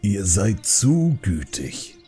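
Old panda brewmaster, played by a man: very low voice, jovial, courteous, kindly storyteller, light music underneath. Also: huge pitch swings, slow pace, warm tone, exaggerated voice. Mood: genial, apologetic. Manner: good-natured, polite, chuckling. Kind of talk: audiobook character acting.

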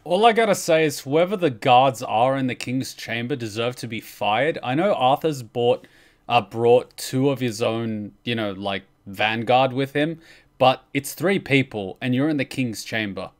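A young male streamer comments casually in a medium pitch. He is wry and joking in how he talks. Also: lively intonation, measured pace. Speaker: streamer reacting to videos. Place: indoor room, livestream webcam setup.

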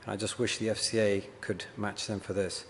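A man's voice: lowish voice, level tone. A man hosting a conference event, formally introducing the evening's speaker from a podium. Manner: formal, measured with filler pauses. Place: large hall with chandeliers. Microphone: podium microphone. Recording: reverberant hall with faint hiss.

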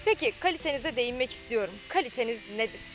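A woman's voice: on the high side, lively intonation, fast talker, over music, poor audio. A young woman TV reporter interviewing outdoors by a beach, holding a handheld mic and speaking in fluent, polished presenter phrases. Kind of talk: on-camera interview. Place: outdoors by a beach under trees.

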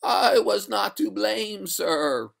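Storybook water ghost , male reader: high, very slow, gurgling maiden, haughty and indignant, formal old-fashioned diction. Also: gravelly, a bit bright, exaggerated voice. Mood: mournful, doomed. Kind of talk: storybook character voice.

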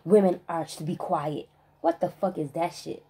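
A woman vlogger in a spiritual rant monologue, emphatic and profane, stressing single words with long pauses. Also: fairly low pitch, mellow, husky.

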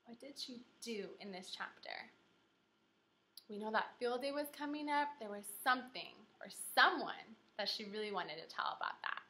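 A young American woman teaching young children in an animated, encouraging way, speaking simply as in an interactive read-aloud lesson. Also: fairly high pitch. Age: young adult. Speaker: elementary school teacher. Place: small indoor room with whiteboard.

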